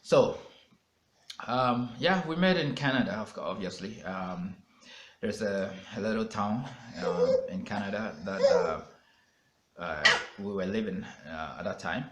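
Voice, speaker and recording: Deep voiced man from ghana, laid back storytelling on a phone vlog, roomy echo. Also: unhurried, background noise. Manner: relaxed, with many mm-hmms and restarts. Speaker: vlog co-host. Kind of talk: casual storytelling. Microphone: phone camera.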